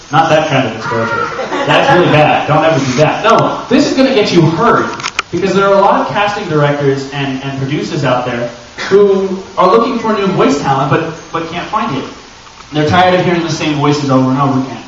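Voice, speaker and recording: A man with a slightly high voice presents an informal convention panel in a very echoey room. He is picked up from well away from the mic, with muffled sound and some noise. His delivery is animated and jokey, as he addresses the people in front of him. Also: normal speed. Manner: animated, joking, acts out little imagined dialogues. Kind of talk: informal panel talk to a live audience. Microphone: camera microphone at a distance. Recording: echoey room, distant mic, dull noisy audio.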